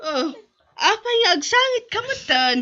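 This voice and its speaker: A woman's voice: extremely high pitch, big pitch swings, unhurried, sharp tone, heavily acted. A woman playing a sympathetic, concerned older mother and grandmother in an amateur audio drama. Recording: dull lo-fi audio over music. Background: music.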